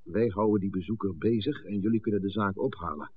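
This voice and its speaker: A man's voice: fairly low pitch, a little fast, breathy edge. A man acting a blunt, confident village conspirator, impatient and persuasive, in a 1960s radio play. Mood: insistent. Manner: blunt, insistent. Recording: muffled, narrow-band old radio recording.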